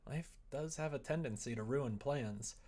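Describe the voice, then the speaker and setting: A man's voice: mid-range voice, measured pace, darkish tone, close to an everyday voice. An earnest group manager from a visual novel, read by a man in his own mid-range voice. The character is apologetic and tentative, yet encouraging.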